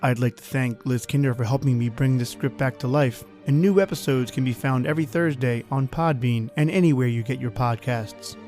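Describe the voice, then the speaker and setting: A man's voice: medium pitch, a little fast, few pauses, darkish tone, soft background music. A man speaking as a podcast host, reading a scripted sign-off.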